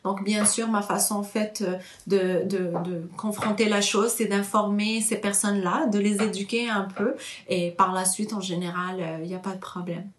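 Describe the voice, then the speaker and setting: A woman's voice: medium pitch, little pitch movement, normal speed, reverberant. A woman in her thirties, originally from Morocco, giving a personal testimony in an earnest way with many hesitations and fillers. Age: 30s. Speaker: interviewee.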